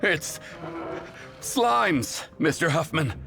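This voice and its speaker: An actor playing a panicked lowly town guard in a video game, urgently raising the alarm. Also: male voice, sing-song, extremely slow, creaky, music in the background, exaggerated voice.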